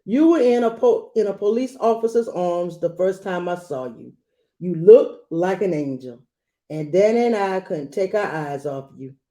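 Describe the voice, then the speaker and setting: Mellow woman reading a picture book aloud. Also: on the low side, expressive, normal speed. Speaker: read-aloud storyteller. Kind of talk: children's picture-book read-aloud with asides.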